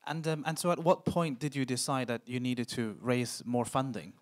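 A man in his thirties with a medium-pitched voice interviews a guest on stage. His questions are informal and a little halting, spoken into a handheld mic. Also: steady tone. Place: indoor event stage in front of a screen. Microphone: handheld microphone.

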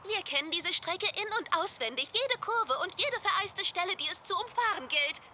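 Piercingly bright clever girl coach. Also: very high voice, animated, moderate pace, slight background noise, heavily acted. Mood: reassuring. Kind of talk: German cartoon dub acting.

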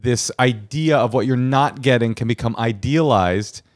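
A man's voice: mid-range voice, normal speed, no room sound. A man co-hosting a podcast, giving rambling, jokey advice and quoting sayings into a handheld mic in a living room studio. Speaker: podcast co-host. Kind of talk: casual advice chat. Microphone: handheld mic, headphones.

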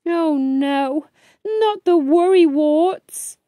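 Lady doing a nervous little beaver boy from a kids storybook, high voice, expressive, kinda mellow. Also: drawn-out words, no echo, studio quality. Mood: worried. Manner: expressive, chanting rhymes and fretting. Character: anxious young male beaver, talking himself into courage. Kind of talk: children's storybook read-aloud.

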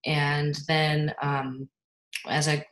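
A young woman from the United States speaking from her bedroom on a video call, relaxed and a little hesitant. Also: low voice, drawn-out words, a little hiss or hum. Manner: relaxed, with fillers and small laughs. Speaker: guest speaker, farmer. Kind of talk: casual presentation on a video call. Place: bedroom at home. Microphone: laptop webcam mic.

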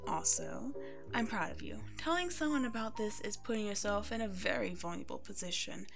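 Woman acting as a playful hairstylist, chatty, reassuring, mid-pitched, ASMR comfort roleplay, faint background music. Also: fairly quick, creaky, close to an everyday voice. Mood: gentle, reassuring. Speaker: voice actor playing a hairstylist.

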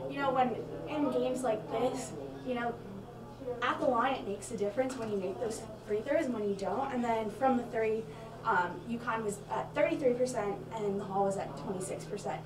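A young woman with a fairly high voice breaking down a basketball game. She reels off stats in a chatty way, with fillers. She is on a handheld mic, heard from a distance in an echoey room with a crowd behind. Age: young adult. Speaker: student sports analyst co-host. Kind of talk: postgame basketball analysis. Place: small studio with stadium backdrop.

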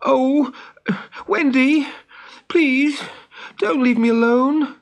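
Casting: adult narrator as impulsive proud boy for storybook, high and emphatic, very animated. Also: moderate pace, husky. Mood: coaxing. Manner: boastful, emphatic. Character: young boy adventurer, cocky, proud, impulsive.

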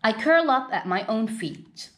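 A woman's voice: a little high, sing-song, unhurried, a little hiss or hum. A woman voicing a proud, independent cartoon dog from a picture book, self-satisfied, bossy and affectionate. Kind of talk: children's picture-book read-aloud.

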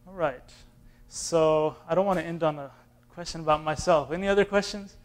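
A man in his thirties from Austin, Texas, an imam answering questions in a relaxed, classroom way with fillers and restarts, filmed by a far camera in a community center room. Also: on the high side, normal speed. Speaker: imam teaching an intro class.

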